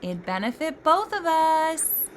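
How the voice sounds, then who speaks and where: A woman playing an earnest, excitable, bookish fairy scholar who lectures about magic in a scripted roleplay. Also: high-pitched, slow, a little hiss or hum, heavily acted. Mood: eager, pleading. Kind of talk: scripted roleplay voice acting with storytelling. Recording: music behind the voice.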